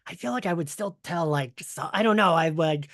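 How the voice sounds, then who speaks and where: A man hosts a casual podcast chat in a notably high voice. He is chatty and quick to laugh, and the sound is dry and close. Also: a bit bright, no echo. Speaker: podcast host.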